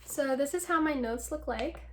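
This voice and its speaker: A woman's voice: sits high, normal speed, a little hiss or hum. A woman vlogging casually to the camera, chatty and filling her talk with ums and likes. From United States.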